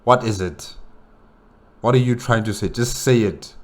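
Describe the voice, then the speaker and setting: A man's voice: medium pitch, takes it slowly. A man giving casual reaction commentary as a video host, speaking in a hesitant, questioning way.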